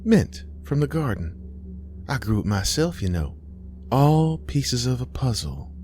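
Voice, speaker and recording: A man's voice: medium pitch, very animated, a little mellow, soft background music, pitched above the performer's own voice. Man voicing a sly, menacing female spirit, sweetly taunting. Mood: eerie coaxing. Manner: sweetly taunting, drawn-out folksy talk.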